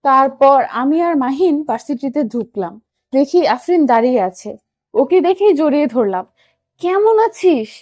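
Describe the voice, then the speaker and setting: High-pitched young woman narrating. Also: lively intonation, normal speed, a little mellow, no echo. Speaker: audio story narrator. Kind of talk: first-person romantic story narration with acted dialogue.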